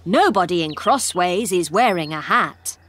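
A woman narrating a simple scripted story for English learners in a deliberate, expressive way. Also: on the low side, husky, dry recording.

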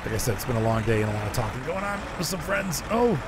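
A hoarse man chatting casually as he streams a driving game, rambling and answering his chat. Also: medium pitch, very animated, fast. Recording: noisy game sound behind.

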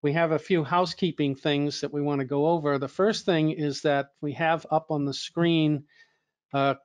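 Slightly hoarse man moderating a webinar. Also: on the high side, no echo. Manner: relaxed, conversational, with frequent fillers and restarts. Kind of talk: online training webinar introduction.

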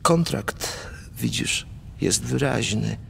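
An actor playing a morbid, romantic Polish count of fifty in a radio play of dramatic verse, brooding and theatrical. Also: male voice, mid-range voice, takes it slowly, gravelly, a bit bright, a little hiss or hum, exaggerated voice. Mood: grim, brooding.

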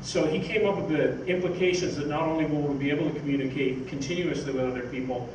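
An older man giving an informal, halting talk from notes on a stage. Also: a little high. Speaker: speaker, magazine editor. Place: theatre stage, indoors. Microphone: stage mic on stand, picked up by distant camera. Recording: roomy, noisy stage recording from far off.

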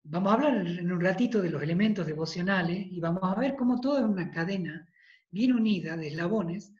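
A man in his thirties with a Tucumán Argentine accent, a priest giving an emphatic devotional talk over a video call, repeating phrases for weight and clearing a tired throat. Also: high voice, fairly quick, darkish tone. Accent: Tucumán Argentine Spanish. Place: study with bookshelves, indoors. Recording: roomy, dull video-call audio.

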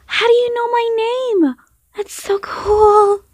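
Woman voicing a high-pitched, excitable little boy. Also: slow pace, dry recording. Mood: curious, amazed. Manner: childlike, excitable. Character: cheerful, wide-eyed little boy, innocent and eager.

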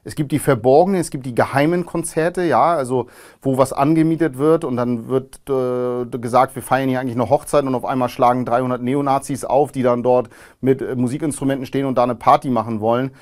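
A man in his thirties, a guest in a studio interview, answering in a calm, measured way with frequent hesitations. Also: medium pitch. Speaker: interview guest, former neo-Nazi. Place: studio with grey backdrop.

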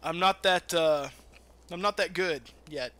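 A man's voice: sits high, measured pace, sharp tone. A man giving casual, rambling let's play commentary, with some swearing. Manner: rambling, profane. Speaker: gaming video host.

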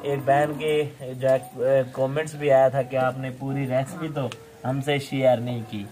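A man's voice: mid-range voice, flat delivery, measured pace, mellow, a little hiss or hum. A young man from Pakistan hosting a casual village vlog outdoors, chatty and laughing often as he talks to his viewers. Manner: chatty, laughs often, keeps saying "okay". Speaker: vlog host.

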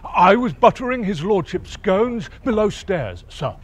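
A man's voice: sits high, sing-song, a little slow, full character voice. An older man playing a stiff, deferential butler in a comic murder mystery, formal and theatrical.

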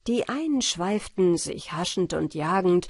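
A woman narrating a bedtime fairy tale audiobook in a gentle, measured storytelling manner. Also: lowish voice, animated, breathy edge, no echo, studio quality.

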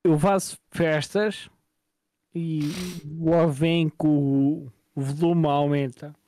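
Slow, high-pitched man. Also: steady tone, no echo. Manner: dry asides, shouted exclamations. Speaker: co-host. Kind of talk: casual live-stream chat.

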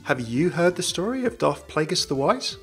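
A young man narrates a scripted fan video. His voice sits a little high for a man. He is chatty, with jokey asides, and soft music plays behind him. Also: very animated, normal speed.

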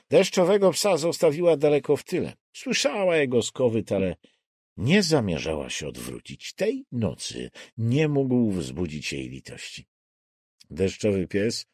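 A man reading a thriller audiobook in a measured, deliberate and expressive dramatic style. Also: mid-range voice, no room sound, studio quality. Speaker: audiobook narrator. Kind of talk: scripted dramatic thriller narration.

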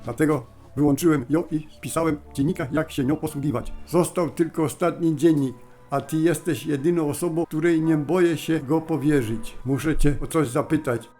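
Intense, secretive scientist brother for cartoon dub , man acting: fairly high voice, urgent, solemn, faint music behind. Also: normal speed, dark tone, lightly acted. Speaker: fan dub voice actor.

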